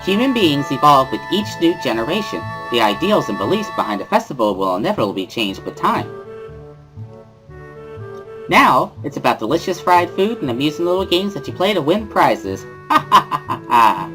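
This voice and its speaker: A man voicing a bubbly, loud teenage schoolgirl with an exuberant, sing-song, giggly manner in a visual novel reading. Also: fairly high pitch, sing-song, moderate pace, over music, pitched above the performer's own voice, low-quality recording. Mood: loud, proud.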